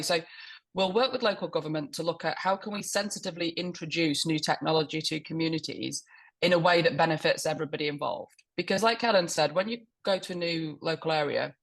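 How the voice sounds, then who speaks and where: Croaky-voiced woman with a cold. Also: low-pitched. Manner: fluent, corporate explaining. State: hoarse with a cold. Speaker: public affairs executive panelist. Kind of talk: webinar panel discussion.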